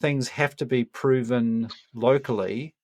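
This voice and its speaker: A man hosting a casual interview podcast, talking in measured short phrases with pauses between them. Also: mid-range voice, steady tone, darkish tone, dry recording. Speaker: podcast host.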